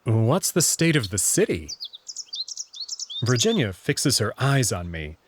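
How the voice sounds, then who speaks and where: A man's voice: mid-range voice, very animated, slow pace, background noise. A man narrating a scripted first-person story as its main character. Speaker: narrator and reader of the player character.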